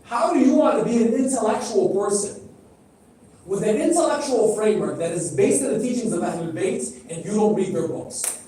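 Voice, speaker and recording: Preacher in a very echoey hall. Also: male voice, sits high, moderate pace, mellow, slight background noise. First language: Arabic. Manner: direct, questioning the audience, repeating phrases for emphasis. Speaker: speaker at a religious gathering. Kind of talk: sermon. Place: large hall. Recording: echoey hall, heard from a distance.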